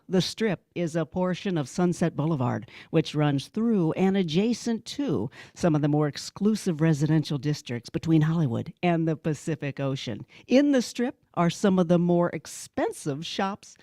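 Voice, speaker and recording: A woman narrating an old-time radio detective drama, setting the scene in a measured, scripted delivery. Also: low-pitched, lively intonation, dark tone, no room sound, studio quality.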